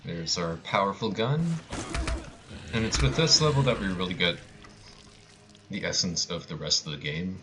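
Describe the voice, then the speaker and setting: A man's voice: low voice, normal speed, pauses often, background noise. A man giving casual live commentary on his own video game speedrun, with playful, joking asides.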